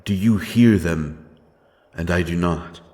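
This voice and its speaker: A grim, doomed dark fantasy avenger, acted by a man with a very low voice. He speaks slowly and solemnly, brooding over his words and leaving heavy pauses, as in a dramatic audio roleplay. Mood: tense, demanding. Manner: brooding, solemn, halting delivery with weighty pauses.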